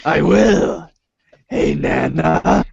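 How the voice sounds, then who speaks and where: A woman playing a naive, casual teenage boy hero in amateur reader's theater, hesitant and deadpan. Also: deep voice, very slow, vocal fry, a crowd in the background, exaggerated voice. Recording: dry, dull lo-fi recording.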